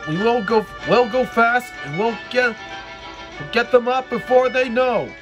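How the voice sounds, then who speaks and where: Urgent engine driver voiced by a man, raised pitch. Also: measured pace, over music. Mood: urgent warning. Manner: emphatic, urging.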